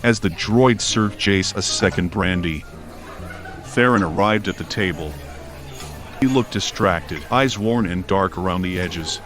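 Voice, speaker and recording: A man's voice: sits low, measured pace, fairly bright tone. A man reading scripted fiction as an audiobook narrator, telling the story in prose. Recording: faint music behind narration. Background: faint music.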